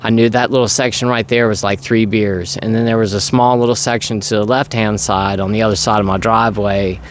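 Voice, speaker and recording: A middle-aged man talks casually in a low, raspy voice that sounds worn by allergies. He rambles conversationally as he would in a personal vlog, with clear noise behind him. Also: steady tone, brisk. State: congested by allergy, voice going, clearing throat. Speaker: vlogger.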